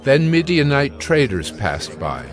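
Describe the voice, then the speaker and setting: A man narrating dramatized scripture in a measured storytelling manner. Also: medium pitch, very animated. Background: background music.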